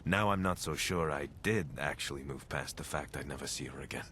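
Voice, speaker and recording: An actor playing a wry, wounded wasteland companion in a video game, speaking in a casual, hesitant way. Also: male voice, very deep voice, fairly quick, pushed and bright, slight background noise. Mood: wistful, reflective.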